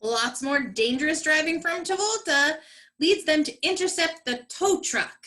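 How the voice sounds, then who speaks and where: A woman's voice: high-pitched, lively intonation, unhurried, sharp tone. A woman comedian narrating an abridged movie as a comedic radio play.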